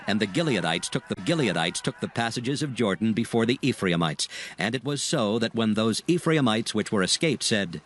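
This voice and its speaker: A man narrating dramatized scripture in a solemn, formal, measured storytelling manner. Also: fairly low pitch, lively intonation, fairly bright tone.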